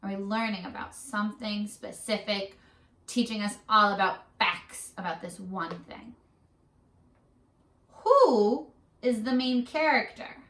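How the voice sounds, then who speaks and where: A woman teacher leading a read-aloud lesson for young children, in an animated, questioning, sing-song way. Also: mid-range voice, unhurried. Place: classroom-style room. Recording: echoey room, distant mic.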